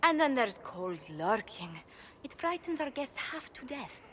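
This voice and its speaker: An actress playing a poised, gracious noble diplomat in a game, speaking with polished formality. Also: female voice, sits high, sing-song, unhurried, a bit bright, slight background noise, exaggerated voice. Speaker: ambassador and diplomat.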